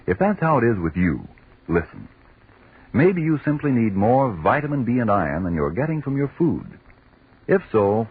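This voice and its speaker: A man reads a vintage radio commercial in an earnest, folksy selling style. His low, very husky voice swings emphatically over a tinny, band-limited recording. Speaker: commercial announcer. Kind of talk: 1940s radio advertisement pitch.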